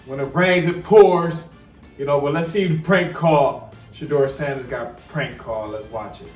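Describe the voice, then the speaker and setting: A man's voice: a little high, unhurried. Slangy male sports vlogger in a roomy space. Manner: slangy, repeats phrases for emphasis. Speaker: sports commentary video host. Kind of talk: casual sports commentary to viewers. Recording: roomy, distant mic, music behind.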